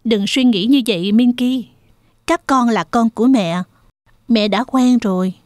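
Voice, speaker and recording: A woman's voice: on the high side, unhurried, a little mellow, dry recording, studio quality, natural voice. A woman voicing a caring, protective mother pig in a children's cartoon. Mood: reassuring.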